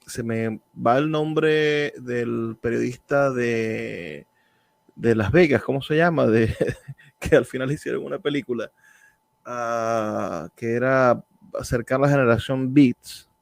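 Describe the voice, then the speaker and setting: A man hosts a book radio show in a clear announcer's manner. He asks his guest questions and invites listeners to write in. His voice sits at an ordinary middle pitch. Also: takes it slowly, dry recording. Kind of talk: radio interview hosting and announcements.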